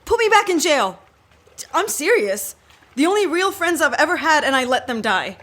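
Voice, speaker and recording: A woman's voice: high voice, big pitch swings, moderate pace, fairly bright tone. A woman playing a guilt-ridden, agitated young hacker, emphatic and emotional, in an audio drama. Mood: guilty, distraught. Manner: emotional, emphatic, self-blaming.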